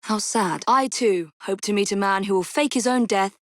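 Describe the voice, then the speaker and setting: A woman's voice: medium pitch, moderate pace, fairly bright tone, dry recording, studio quality, natural voice. Poised British woman playing a young female assassin. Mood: dry, determined. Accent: British English. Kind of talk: scripted video game voice lines.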